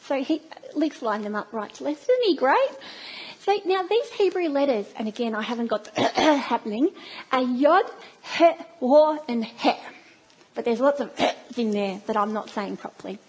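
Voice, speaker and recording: Middle-aged Australian woman, preacher; high, husky voice, storytelling with playful asides. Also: lively intonation, moderate pace, a little mellow, rough recording. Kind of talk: sermon.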